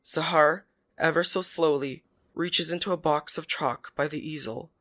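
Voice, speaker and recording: Soft-spoken man reading a story aloud. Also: fairly high pitch, steady tone, measured pace. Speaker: story narrator. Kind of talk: first-person storybook reading.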